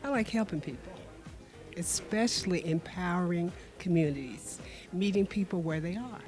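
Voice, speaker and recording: An older woman, a nurse, answering interview questions in a deliberate way. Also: on the low side, darkish tone, soft background music.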